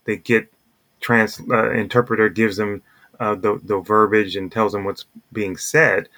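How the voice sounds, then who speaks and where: A man's voice: lowish voice, little pitch movement, unhurried, bright, forceful tone. A man co-hosting a sports podcast, talking casually and thinking aloud as he discusses baseball.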